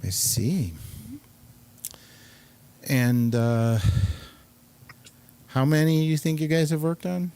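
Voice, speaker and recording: A man hosting a casual interview show, speaking in a halting, hesitant manner. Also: a little high, dark tone, clean tone. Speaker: talk show host.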